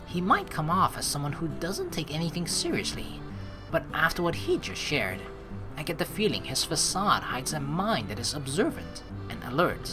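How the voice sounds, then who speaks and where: A young man hosting a game playthrough, reading dialogue aloud and adding casual, slangy, expressive commentary. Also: fairly high pitch, normal speed. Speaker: let's play host. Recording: game music behind the voice.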